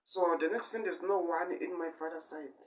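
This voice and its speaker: Young man, high-voiced, distant mic. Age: 20s. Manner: halting short phrases, repetitive, emphatic bursts. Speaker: amateur online speaker. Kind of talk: rambling improvised monologue. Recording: distant microphone.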